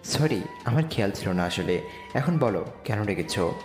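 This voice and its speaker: Brief: male actor as humble, earnest young slum lad, animated delivery, heavy reverb, music underneath, romantic audio drama. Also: fairly low pitch, moderate pace. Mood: shy, humble. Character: poor young slum boy, humble, earnest, narrating his story. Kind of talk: romantic audio story narration and dialogue. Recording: echoey reverb with music bed.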